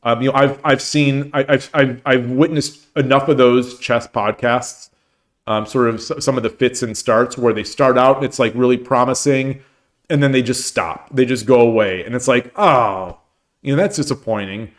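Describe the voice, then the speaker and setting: A man's voice: medium pitch, fairly even intonation, moderate pace. A man hosting a casual livestream, chatting at length and answering chat comments, with many restarts and fillers. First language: English. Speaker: streamer and host.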